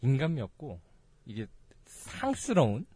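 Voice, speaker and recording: A man's voice: medium pitch, huge pitch swings, a little slow, mellow, husky, no room sound. A young man hosting a casual comedy talk show, teasing his guests in a playful way. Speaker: host.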